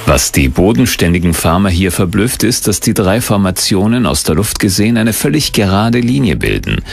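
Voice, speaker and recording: A man's voice: on the low side, sing-song, normal speed, vocal fry, slightly rough. A man reading scripted documentary narration in a suspenseful, portentous manner. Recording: music bed behind narration.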